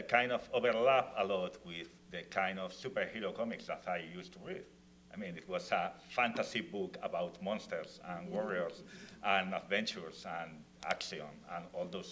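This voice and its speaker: Deep-voiced Spanish-accented man, halting. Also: sharp tone, a little hiss or hum. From Spain. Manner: halting, many fillers and restarts. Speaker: festival panelist, comics author. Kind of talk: panel talk on stage. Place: university hall, in front of students. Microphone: lavalier clip mic.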